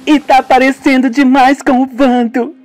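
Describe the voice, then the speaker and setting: A man voicing a panicked young woman who is crying, in a high falsetto, in a homemade radio drama. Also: level tone, a little slow, soft background music. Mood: sobbing panic. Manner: shrill, frantic falsetto.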